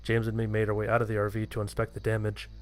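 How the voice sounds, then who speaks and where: Man, lowish pitch, scripted story narration, first-person horror, music behind. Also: completely flat delivery, quick pace.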